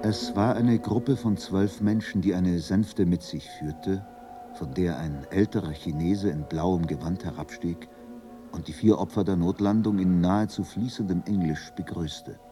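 A man narrating a radio drama in a measured, scripted storytelling manner. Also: low voice. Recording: music behind the narration.

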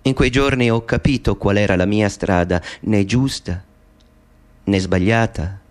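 A man's voice: fairly low pitch, unhurried, close to an everyday voice. An actor playing a middle-aged man speaking an intimate, wistful monologue to his mother in a radio play. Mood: reflective.

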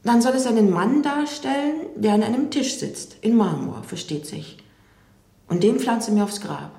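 An actress playing a reflective woman recalling a difficult man in a radio drama interview, speaking in a measured way. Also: a little high, expressive, vocal fry, warm tone, reverberant. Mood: emphatic quoting.

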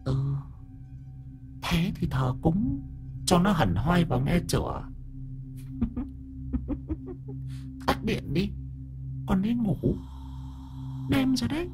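A man's voice: high-pitched, very animated, takes it slowly, long pauses, music in the background, pitched above the performer's own voice, heavily acted. A man putting on a creepy old village crone. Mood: creepy sly. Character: creepy old village woman, sneering and menacing.

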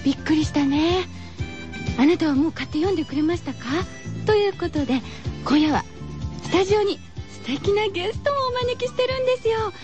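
A woman's voice: very high-pitched, expressive, a little fast, highs cut off, over music. A woman hosting a radio show from a studio, chatty but scripted, with cutesy, playful phrasing.

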